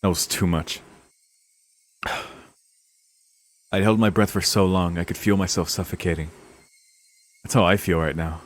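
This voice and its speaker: A man's voice: deep voice, steady tone, measured pace, long pauses. A man streaming a visual novel, reading the narration aloud with dramatic, casual, sweary reactions. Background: game music.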